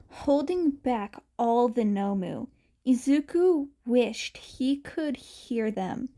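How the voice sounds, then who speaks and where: Slow-paced woman reading a story. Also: on the high side, warm tone. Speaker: amateur audiobook narrator. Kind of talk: expressive scripted fan fiction narration.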